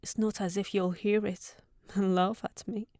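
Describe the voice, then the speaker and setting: A woman's voice: medium pitch, flat delivery, normal speed, no echo, clean studio sound, natural voice. A young woman playing a sensible fairytale housekeeper secretly in love, talking tenderly and fretfully in an intimate fantasy audio roleplay. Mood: tender, confessional. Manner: tender, fretful rambling with sighs and hushed asides.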